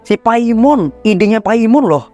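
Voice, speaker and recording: A man's voice: high-pitched, animated, normal speed, a little mellow, lo-fi sound. A man with an Indonesian accent doing casual, teasing, slangy gameplay commentary. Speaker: gaming streamer. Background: faint game music.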